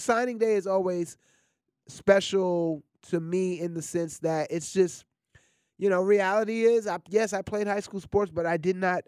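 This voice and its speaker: A man hosting a sports radio show in a studio, chatty and energetic, his voice scratchy and nasal from a cold. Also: fairly high pitch, dry recording. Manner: energetic, chatty, lots of shout-outs. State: congested, scratchy voice. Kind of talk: casual sports radio talk.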